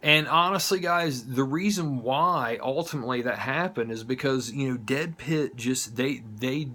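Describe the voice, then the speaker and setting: A man's voice: mid-range voice, measured pace, sharp tone. A man with a Southern US accent gives a casual, heartfelt monologue to viewers in an informal, blunt manner. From United States, not from the South. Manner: informal, blunt, occasional swearing. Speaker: host of an online horror show.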